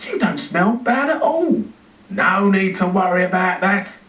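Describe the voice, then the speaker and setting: A man's voice: high-pitched, animated, a little slow, a bit bright, pitched above the performer's own voice. A man voicing a self-important, self-pitying zookeeper who mutters and exclaims dramatically to himself in a children's storybook. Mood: cheery, relieved. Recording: roomy, distant TV speaker playback.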